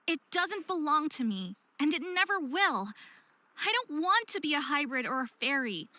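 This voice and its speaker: Casting: a desperate, earnest young half-faerie for a narrative game; high-pitched, dry close sound. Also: female voice, sharp tone, no room sound, heavily acted. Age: young adult. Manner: earnest, emotional delivery. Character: troubled young hybrid faerie woman, self-hating, desperate, earnest. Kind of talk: visual novel voice acting.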